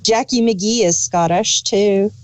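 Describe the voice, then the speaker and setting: A woman's voice: mid-range voice, slow, a little mellow. A woman co-hosting a casual chat, chipping in with brief, offhand remarks. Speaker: podcast co-host.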